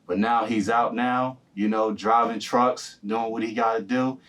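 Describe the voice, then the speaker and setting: A man's voice: lowish voice, fairly even intonation, measured pace, fairly bright tone, distant mic. A man hosting a casual interview, encouraging his guest with short replies. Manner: encouraging, many short backchannels. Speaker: host.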